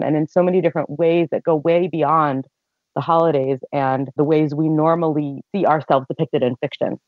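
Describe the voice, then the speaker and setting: A woman's voice: low voice, no room sound. A woman with an American accent, a literary agent, speaking earnestly and explaining on a podcast panel. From United States. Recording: dry, phone-like line.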